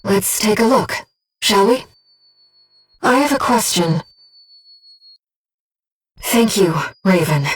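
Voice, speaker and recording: Soft-edged, husky, measured game companion. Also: female voice, mid-range voice, animated, distant mic, faint music behind. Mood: soft, grave. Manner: measured, earnest, precise, gently formal. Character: calm, devoted female disembodied voice guiding a pilot. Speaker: mission support companion. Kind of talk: scripted video game voice acting.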